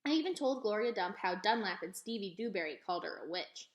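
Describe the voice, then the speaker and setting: A woman reading a children's novel aloud in an expressive storytelling style. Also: medium pitch, fast. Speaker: read-aloud reader. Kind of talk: expressive children's book narration. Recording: echoey, roomy sound.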